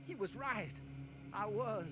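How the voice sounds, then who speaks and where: An actor playing a tormented, deranged evangelist preacher, narrating a video game story in a theatrical, fervent, sermon-like way. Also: male voice, high voice, slow. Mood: shaken, unhinged. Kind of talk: dramatic first-person game monologue. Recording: game audio with loud music behind.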